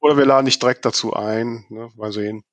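A man's voice: medium pitch, fairly even intonation, quick pace, no echo. A man hosting a podcast in casual, informal chat, with a joking, check-in way of talking. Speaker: podcast host.

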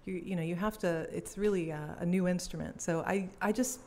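A woman's voice: lowish voice, brisk, mellow, slight background noise. A young woman from Belgium, a cellist, chatting casually in an interview and laughing easily. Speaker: cellist and musician guest.

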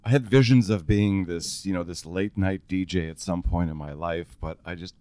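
A man's voice: deep voice, normal speed, darkish tone, no echo. A middle-aged man talking as a podcast guest in casual chat, projecting strongly and laughing often.

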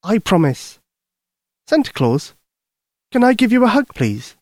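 A man's voice: sits high, big pitch swings, a little slow, no room sound, studio quality, pitched above the performer's own voice, heavily acted. A man voicing a sweet, eager little girl in a bedtime story, earnest and full of childlike questions. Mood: happy, affectionate.

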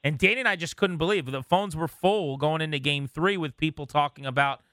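American male sports talk host, bright and forward, slightly higher voice, dry studio sound. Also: brisk, dry recording. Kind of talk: casual sports chat.

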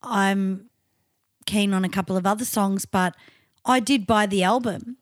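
A woman's voice: unhurried, no echo, studio quality. Foul-mouthed Australian woman co-host. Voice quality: high-pitched trained singer's voice. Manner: crude, swearing, outspoken banter. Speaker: podcast co-host. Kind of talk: casual chat.

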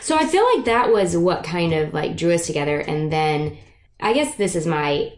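A woman's voice: on the low side, expressive, moderate pace. A woman in her thirties with a Michigan accent, chatting casually and self-deprecatingly with old college friends at a home. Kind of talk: casual chat. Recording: roomy echo.

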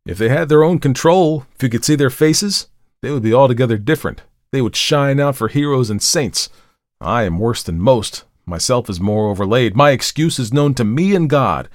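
A male narrator plays a guilt-ridden murderer from a literary storybook. The voice leaps high and loud above an ordinary reading tone, impassioned and theatrical, protesting and pleading in desperate questions. The sound is dry and close. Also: dry recording, natural voice.

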